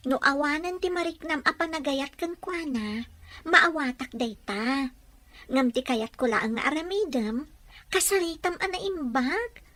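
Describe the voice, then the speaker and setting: Woman doing really high girlish voice, caring careful best friend, ilocano radio drama. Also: unhurried, a bit bright. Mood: concerned. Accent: Ilocano Filipino. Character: young female friend, concerned and careful confidante.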